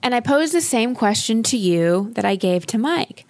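A woman's voice: fairly high pitch, lively intonation, normal speed. A woman hosting a coaching podcast, talking in an encouraging, instructive manner as she explains. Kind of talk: life coaching talk, explanatory.

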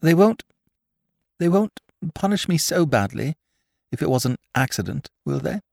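A man's voice: mid-range voice, animated, normal speed, dry recording, studio quality, natural voice. A man voicing a young aristocratic woman who is earnest, anguished and stubbornly insistent, in an audiobook novel. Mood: quivering, fearful. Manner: grave, earnest, formal phrasing.

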